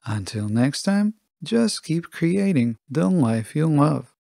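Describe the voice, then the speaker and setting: A man hosting a podcast alone, reading scripted narration in a measured, deliberate manner. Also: a little high, very animated, creaky, dark tone, no echo, studio quality. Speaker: podcast host.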